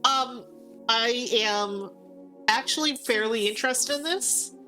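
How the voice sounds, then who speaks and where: A woman co-host chatting casually about a game, thinking aloud in a halting way. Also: on the high side, bright, forceful tone, soft background music, rough recording. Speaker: gaming co-host. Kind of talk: casual chat reviewing a game.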